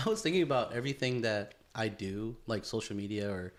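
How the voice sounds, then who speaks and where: A man's voice: lowish voice, expressive, brisk. A man in his thirties hosting a podcast in casual chat, easygoing and probing, swearing now and then with lots of fillers. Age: 30s. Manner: easygoing, swears, asks probing questions, many fillers and restarts. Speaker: podcast host.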